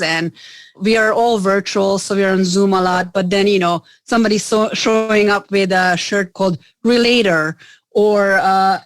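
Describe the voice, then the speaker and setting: A middle-aged woman from Hungary speaks as an interview guest, earnest and a little halting, looping back over her words and leaning on "you know". Her pitch sits in a middling range. The sound is dry and close, with no room around it. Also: no echo. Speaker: business owner, guest. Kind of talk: casual interview conversation.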